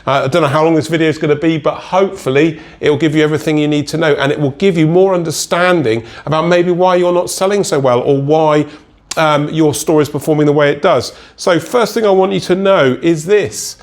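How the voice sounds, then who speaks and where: A man's voice: fairly high pitch, brisk. Consultant, man, stuffy head cold, nasal, chatty explainer, keeps asking "right?". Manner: chatty, checks in with listeners with "right?" and "okay?". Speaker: Etsy consultant. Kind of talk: casual direct-to-camera explainer.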